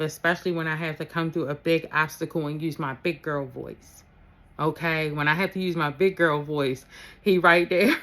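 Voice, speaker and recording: Low-pitched woman, casual spiritual coach. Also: fairly bright tone. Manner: informal, emphatic, addresses listeners with "okay" and "honey". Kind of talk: casual motivational spiritual talk to followers.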